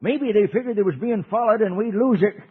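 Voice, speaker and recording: An American man playing a folksy old cowboy uncle, telling a radio serial to children in a rustic drawl with a husky voice. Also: high-pitched, fairly quick. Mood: grave, fatherly. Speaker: narrator. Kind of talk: 1950s radio serial storytelling. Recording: dull old radio recording.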